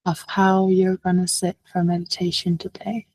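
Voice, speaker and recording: A woman leading a guided meditation as a teacher, speaking gently and unhurriedly with long pauses. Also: lowish voice, completely flat delivery, husky, dry recording.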